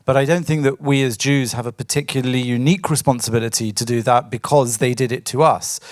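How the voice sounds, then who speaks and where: A man on a panel answering an audience question in a measured way. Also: mid-range voice, fairly even intonation, dry recording, clean studio sound. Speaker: panel speaker.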